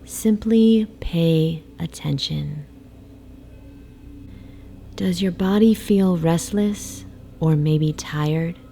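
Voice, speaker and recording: A woman guiding a sleep meditation in a soft, soothing, hypnotic way, with breathy phrase endings and long pauses. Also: lowish voice. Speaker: meditation guide. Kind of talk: guided sleep meditation, slow hypnotic narration. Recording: faint background noise, ocean waves. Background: ocean wave sounds.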